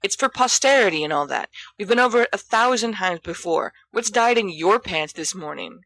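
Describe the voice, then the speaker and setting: A woman's voice: medium pitch, expressive, moderate pace, a bit bright, no echo, voice pushed up, rough recording. A woman voicing a confident, bossy teenage schoolboy who thinks aloud casually in an audiobook story. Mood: impatient. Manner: casual, half-finished musing sentences.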